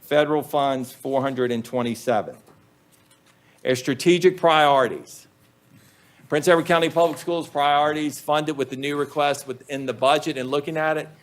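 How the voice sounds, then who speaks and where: A man, a school superintendent, presenting budget figures to a county board in a plain, measured way with frequent ums. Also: on the high side, fairly even intonation. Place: public meeting room.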